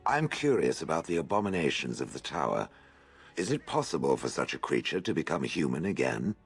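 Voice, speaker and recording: A man voicing a deadpan, dryly mocking stone golem in a video game, speaking with formal detachment. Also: extremely low pitch, brisk, husky, soft background music, exaggerated voice. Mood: dry curiosity. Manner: formal, detached, dry wording.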